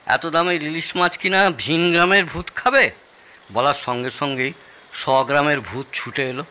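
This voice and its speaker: A man's voice: a little high, moderate pace, sharp tone, slight background noise. A man playing a genial, nostalgic older father-in-law who loves telling stories in an audio drama. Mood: animated storytelling. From Bangladesh. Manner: expansive, nostalgic storytelling. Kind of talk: Bengali audio drama acting.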